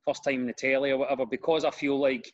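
A man's voice: mid-range voice, level tone, quick pace, dull audio. A Glaswegian comedian in his thirties chatting casually, telling self-deprecating stories. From Scotland. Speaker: comedian guest. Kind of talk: casual chat.

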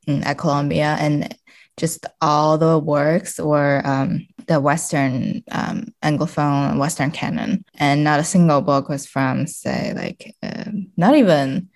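A woman speaking casually as a podcast guest, searching for her words with frequent "like" and "you know". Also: low-pitched. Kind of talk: casual interview conversation.

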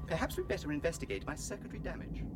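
High-pitched, fussy, anxious robot servant. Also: male voice, wildly swooping pitch, racing, very noisy. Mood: fussy, deferential. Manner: prim, formal, fretful. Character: fussy, anxious, servile male protocol droid. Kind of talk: radio drama acting.

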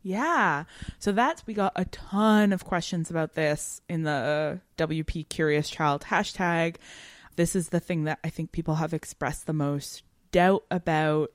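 A woman co-hosting a podcast, talking through a story in a casual, animated and emphatic way. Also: low-pitched, unhurried, no room sound, clean studio sound. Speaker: podcast co-host. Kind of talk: casual literary discussion chat.

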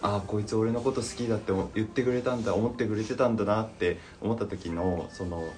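Casual male podcaster, low animated voice, thinks aloud over background music. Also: quick pace. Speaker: podcast member discussing a voice drama plan. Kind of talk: casual creative brainstorming chat.

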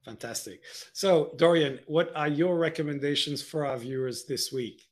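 A man's voice: on the high side, moderate pace, over loud music. A man hosting a casual conversation, asking his guests loose, friendly questions with some fillers. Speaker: host.

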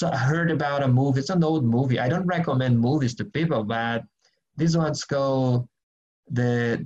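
Man, mid pitch, gravelly, group study leader on a lo-fi video call. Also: darkish tone. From Brazil. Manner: earnest, rambling, frequent restarts and fillers. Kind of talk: casual teaching and prayer in an online group session.

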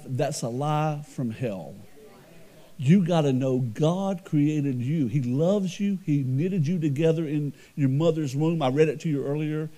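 A middle-aged man preaching a sermon as pastor, with a loud, carrying voice and an earnest, conversational delivery full of restarts. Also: on the high side. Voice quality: loud voice that carries.

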